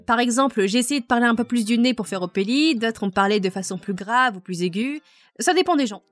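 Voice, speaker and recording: Woman, chatty, self-mocking, fairly high voice. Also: lively intonation, a little fast. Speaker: fandub voice actress. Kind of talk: casual recorded answer.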